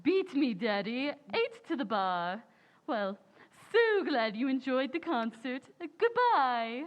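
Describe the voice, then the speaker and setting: A woman's voice: high voice, very animated, slow pace, lo-fi sound, exaggerated voice. A woman playing a grand, gushing, self-satisfied society matron in an affected, theatrical old-time radio comedy style. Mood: airy, pleased. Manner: affected, hoity-toity, theatrical.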